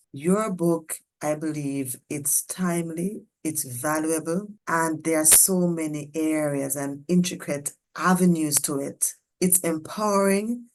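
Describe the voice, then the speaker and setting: A woman's voice: low-pitched, a little mellow. A woman hosting a podcast interview, welcoming her guest in an effusive, formal way. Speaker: podcast host.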